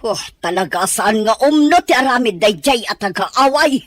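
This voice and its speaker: Animated, high-voiced, blunt woman played by an actress. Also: normal speed, natural voice. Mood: concerned. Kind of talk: Ilocano audio drama acting.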